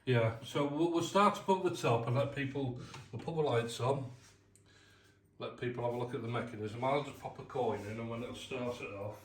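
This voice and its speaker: A man's voice: medium pitch, normal speed. An English man casually demonstrating something hands-on, explaining in a colloquial, matter-of-fact way. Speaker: restorer of vintage arcade machines presenting the work. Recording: roomy, distant mic with clear background noise.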